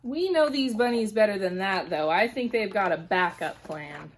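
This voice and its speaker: A woman teacher reading a storybook aloud to young children in an animated way, pausing to ask them questions. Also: medium pitch, slight background noise.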